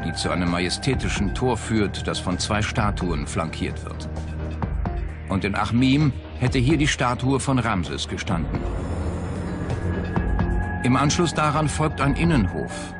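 A man reading a scripted documentary narration. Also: lowish voice. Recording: music bed under the voice. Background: loud background music.

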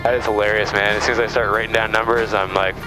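A man's voice: fairly low pitch, level tone, fast, over loud music, rough recording. A man training as a pilot, chatting casually and making radio calls over an aviation headset in a small plane. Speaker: student pilot. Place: small plane cockpit in flight.

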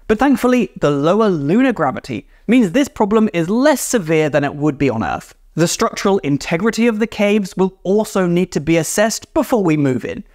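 A young man hosting a science explainer, talking to camera with clear, enthusiastic delivery from a small room. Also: high-pitched, very animated, moderate pace, few pauses, darkish tone, no echo, clean studio sound. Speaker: science video host. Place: small indoor room with shelf of models.

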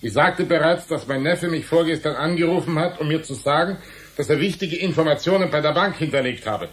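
Actor as a higher-voiced, stubborn, defensive journalist. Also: male voice, brisk, natural voice. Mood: measured, insistent. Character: defensive, stubborn male journalist confessing and justifying himself. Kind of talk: German radio play acting.

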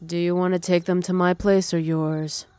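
A woman voicing a calm female vampire friend in a lower, steadier voice. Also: moderate pace, smooth, no echo, close to an everyday voice. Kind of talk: ASMR roleplay.

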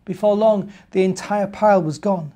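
British man reading picture book to kids, measured n expressive, mellow tone. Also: high-pitched. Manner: emphatic, projected, measured phrases.

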